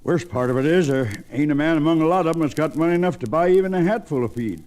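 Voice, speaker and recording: A man's voice: a little high, quick pace, a little mellow, a little hiss or hum, lightly acted. Man playing a weary, hesitant frontier sheriff. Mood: weary, glum. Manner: hesitant drawling talk with throat clearing. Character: weary, glum frontier sheriff who likes his whiskey. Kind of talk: 1940s radio Western acting.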